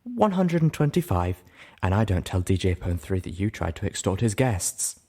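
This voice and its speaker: A man's voice: low voice, very animated, normal speed, dark tone, heavily acted. A man voicing a haughty female unicorn pony, lofty, silky and dramatic, in a storybook reading. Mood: silky, impatient.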